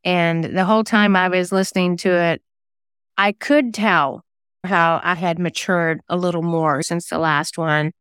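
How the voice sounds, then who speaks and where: A woman's voice: fairly low pitch, steady tone, unhurried, fairly bright tone, clean tone, no echo, studio quality. A woman talking casually as an interview guest, chatty and self-effacing. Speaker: interview guest, singer-songwriter and novelist.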